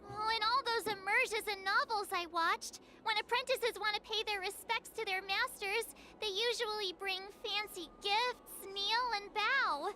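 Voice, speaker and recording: A woman voicing an eager, bubbly teenage girl apprentice in an anime game, earnest, polite and expressive, with music behind her. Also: very high voice, unhurried, hardly any pauses. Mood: earnest, teasing. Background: game background music.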